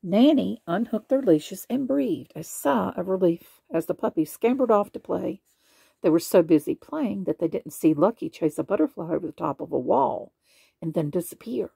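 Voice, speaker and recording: A woman reads a children's storybook aloud with expression. Her voice is husky and gravelly, and its pitch moves around in a lively way. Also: normal speed, dry recording. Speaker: storybook reader.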